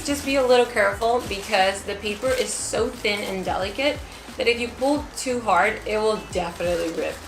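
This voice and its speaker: A woman's voice: mid-range voice, moderate pace, creaky, echoey room, music in the background, rough recording. A woman from Mexico presenting an upbeat, step-by-step craft tutorial.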